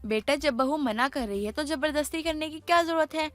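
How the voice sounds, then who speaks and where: A woman's voice: sits high, fairly quick, fairly bright tone, dry recording, faint music behind. A woman playing an older mother-in-law and household matriarch in a dramatized moral story, speaking in an emphatic, homely, instructive way. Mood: reluctant, protective.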